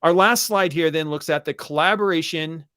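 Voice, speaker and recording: A man's voice: a little high, normal speed, bright, forceful tone, clear, resonant tone, dry recording, clean studio sound. A man speaking as an enthusiastic, emphatic co-host in a conversational webinar for educators, repeating key phrases for stress. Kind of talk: conversational webinar talk for teachers.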